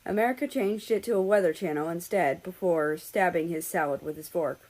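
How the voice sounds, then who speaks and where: A woman's voice: fairly low pitch, a little fast, darkish tone, poor audio. A woman reading a story aloud as a scripted narration, in the role of an audiobook-style reader.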